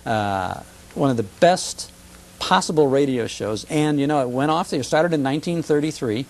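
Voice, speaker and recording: Enthusiastic man with a gravelly edge. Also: fairly high pitch, normal speed, darkish tone. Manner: enthusiastic, emphatic, repeats phrases for effect. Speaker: guest expert on old-time radio. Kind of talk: conversational reminiscing about radio history.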